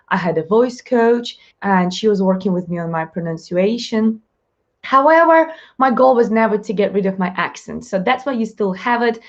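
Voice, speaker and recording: A woman with a Russian accent hosts a live-stream Q&A in a chatty, upbeat way, talking fast and laughing often. Her voice sits in a middle register, and the stream audio is slightly dull. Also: mid-range voice. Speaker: live show host, mindset coach.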